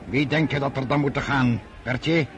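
An actor in a radio drama playing a commanding, middle-aged emperor who is curt, impatient and self-assured, given to muttered asides. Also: male voice, medium pitch, moderate pace, close to an everyday voice. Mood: brooding, weary. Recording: noisy battlefield radio drama with artillery.

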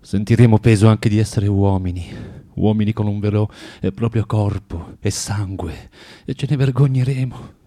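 An actor playing a bitter, proud, self-loathing recluse in a theatrical radio drama, with a volatile, declamatory and halting delivery. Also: male voice, on the low side, mellow, natural voice. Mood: bitter, reflective.

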